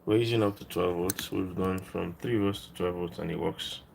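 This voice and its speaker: A man presenting a casual hands-on electronics tutorial, explaining as he works in a halting, informal way, thinking aloud. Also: low-pitched, fairly even intonation, gravelly, darkish tone, slight background noise.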